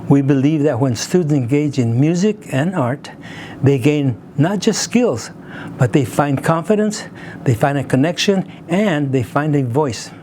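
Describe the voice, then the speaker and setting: A man's voice: fairly high pitch, expressive, measured pace, warm tone, slight background noise. Upbeat male show host. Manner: upbeat, announcing. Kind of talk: scripted show introduction.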